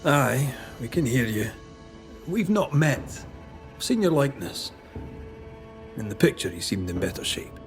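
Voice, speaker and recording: A wry Scottish ghost hunter. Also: male voice, mid-range voice, big pitch swings, unhurried, long pauses, faint music behind. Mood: wry, blunt. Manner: dry, probing, plain-spoken. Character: wry, blunt, seasoned male ghost hunter. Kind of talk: video game voice acting.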